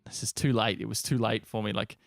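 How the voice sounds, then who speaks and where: A man's voice: lowish voice, steady tone, a little fast, creaky, no echo, clean studio sound. An Australian man co-hosting a podcast, talking casually about games in a blunt, sweary way. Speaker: podcast co-host. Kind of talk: casual gaming chat.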